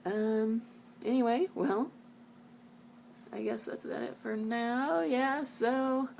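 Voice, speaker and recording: Mellow-voiced, reflective woman over background noise. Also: fairly high pitch, takes it slowly, gravelly. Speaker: vlogger sharing a book recommendation. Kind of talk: reading book passages aloud and informal reflective talk.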